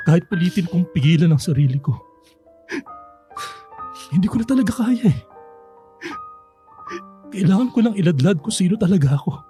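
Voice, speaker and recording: An actor playing a remorseful middle-aged father in a radio drama, speaking haltingly and apologetically. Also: sits high, animated, faint music behind. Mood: anguished confession. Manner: halting, soft-spoken, apologetic. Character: remorseful middle-aged father, hesitant, pleading, hiding his true self.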